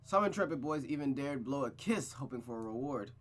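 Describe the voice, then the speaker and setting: A man's voice: mid-range voice, a little fast. A young man hosting a reading of a visual novel, talking energetically and casually, then narrating the story. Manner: energetic, chatty, swears casually.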